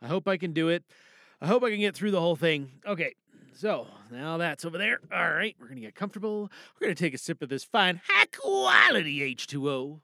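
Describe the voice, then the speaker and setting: A man with a fairly high, very animated voice hosts a podcast in a casual but theatrical way. He rambles showily and talks himself through each step, in a dry close recording. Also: a little fast, vocal fry, no echo. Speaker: host of an audio drama podcast. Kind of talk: casual, theatrical hosting chat.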